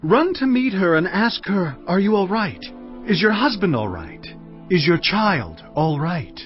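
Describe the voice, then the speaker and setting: An actor playing the prophet Elisha, an earnest, commanding holy man who speaks in a solemn, deliberate way, in a dramatized audio scripture. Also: high voice, sing-song. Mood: instructing. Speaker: prophet character. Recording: dull dry audio with music.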